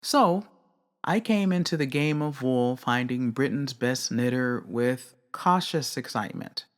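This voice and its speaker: Measured, candid woman hosting a craft show. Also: rumbling low. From not British. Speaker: podcast host. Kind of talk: reflective solo commentary.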